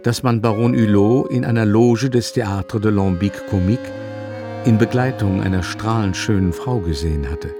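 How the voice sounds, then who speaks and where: A man narrating a classic novel in a radio drama, measured and composed. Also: lowish voice, over music, natural voice. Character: male literary narrator of a French novel.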